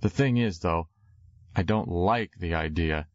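A man with a very low voice reads a novel aloud in a wry, slangy first-person manner. The recording is dry and slightly muffled, with no room sound. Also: a little slow, no room sound. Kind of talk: audiobook narration.

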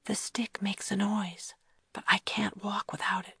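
A woman reading an audiobook, voicing a gentle, protective young woman who speaks in a soft hushed whisper. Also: medium pitch, little pitch movement, measured pace, vocal fry, breathy edge, no room sound, voice pushed up, full character voice. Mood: soothing whisper.

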